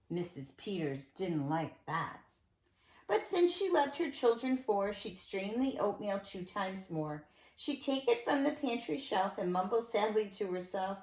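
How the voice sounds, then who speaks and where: Animated woman reading a storybook, slightly high voice. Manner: animated, dramatic emphasis, conversational asides. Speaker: nursery school teacher reading a storybook aloud. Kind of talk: expressive read-aloud storytelling for young children. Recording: echoey room sound.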